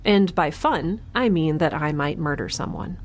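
Wry, low-voiced woman in a sci-fi audio drama. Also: lively intonation, moderate pace, background noise. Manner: wry, sarcastic, chatty. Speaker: solo audio drama narrator and protagonist. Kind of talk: scripted audio-drama monologue.

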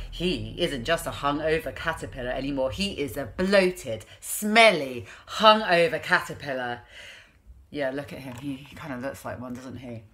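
Animated, bright-voiced woman telling a story. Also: low voice. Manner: theatrical, exaggerated emphasis. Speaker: parenting vlogger, host. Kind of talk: playful storytime read-aloud with chatty asides. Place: small room at home.

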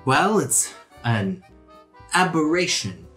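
An eccentric archmage acted out by a male game master in a tabletop game. He speaks higher, blunt and musing, like a scholar absorbed in his studies. Soft music plays behind him. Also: sing-song, takes it slowly, full character voice. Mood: curious, fascinated.

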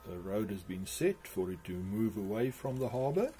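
A man explains things for a hobby video in a fairly low voice. He speaks in measured, broken phrases with pauses. The amateur recording has noticeable background noise. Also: very animated, dark tone. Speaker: model railway hobbyist narrator. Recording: clearly noisy amateur recording.

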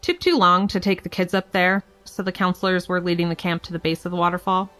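Low-voiced, wry actress as a defiant young woman. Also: quick pace, sharp tone, faint music behind, rough recording. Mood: defiant, excited. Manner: frank, wry, casual. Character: defiant, playful young woman, an arsonist, telling her story. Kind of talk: audio drama storytelling, conversational.

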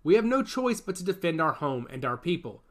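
A man voicing a grave older male rebel leader, measured and solemn. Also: a little high, big pitch swings.

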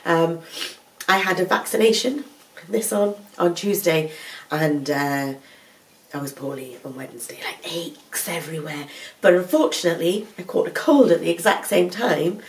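A woman's voice: fairly low pitch, moderate pace. A woman, stuffed up with a cold, chatting rambly to the camera at home. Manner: rambling, self-correcting, chatty. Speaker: vlogger, full-time mum. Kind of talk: casual chatty vlog to camera. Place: living room at home. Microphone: camera on a tripod at a distance. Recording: mic somewhat far off.

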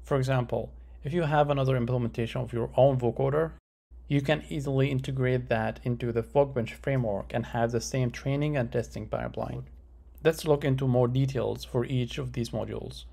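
Male research presenter, very creaky gravelly voice, methodical scripted explanatory delivery, mid pitch. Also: fairly even intonation, brisk, dark tone.

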